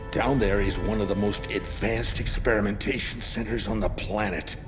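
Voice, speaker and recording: A man performs a grave, wounded male military commander in a cinematic game. His delivery is slow and gravelly, urgent and resolute, with weighty pauses. The voice rings in a reverberant space with music underneath. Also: lowish voice, lightly acted. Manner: deliberate, emphatic delivery with dramatic pauses. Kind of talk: cinematic video game acting.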